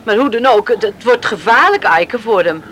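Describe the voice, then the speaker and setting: A determined island mum in a radio drama, highish voice, earnest n stubborn. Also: female voice, expressive, moderate pace, fairly bright tone, a little hiss or hum. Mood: anxious, hesitant. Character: determined island mother rallying a lifeboat crew for her son. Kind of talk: Dutch radio drama acting.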